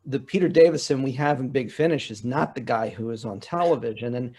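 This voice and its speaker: Regular guy on a panel, medium pitch, chatty, keeps qualifying what he says. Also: a little fast, a little mellow, husky. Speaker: convention panelist. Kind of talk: conversational panel discussion.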